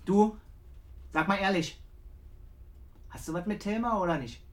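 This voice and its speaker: A man's voice: high-pitched, measured pace, over music. An actor playing a blunt, casual village working man with a Berlin-Brandenburg accent in a naturalistic radio play. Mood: probing. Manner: colloquial, blunt. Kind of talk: naturalistic radio drama dialogue. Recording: very noisy, ambient sound behind.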